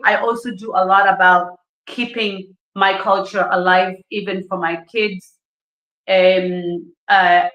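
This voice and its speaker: A woman from Uganda, around her thirties, speaks as a panel guest in an earnest, reflective manner and stacks repeated phrases for emphasis. Her voice is bright and forward. The room around her is echoey. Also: medium pitch. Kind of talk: interview answers.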